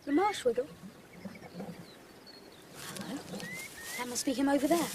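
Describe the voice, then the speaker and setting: A woman's voice: sits high, a little slow, noisy background. A woman playing a curious, slightly indignant schoolchild adventurer in an audio drama, polite and questioning. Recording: loud music and outdoor ambience behind.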